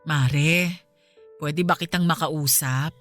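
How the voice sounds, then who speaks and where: A woman's voice: deep voice, fairly even intonation, takes it slowly. A woman playing a hot-headed, protective middle-aged mother in a radio drama, with a Filipino accent and an emotional, emphatic delivery. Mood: remorseful, pleading. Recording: music behind the voice. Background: background music.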